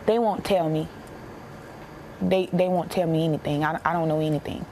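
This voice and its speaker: A young American woman giving a personal account in an interview, plain-spoken and halting, repeating herself for emphasis. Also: low voice, fairly even intonation, dark tone, a little hiss or hum, poor audio. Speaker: interviewee, bereaved military widow.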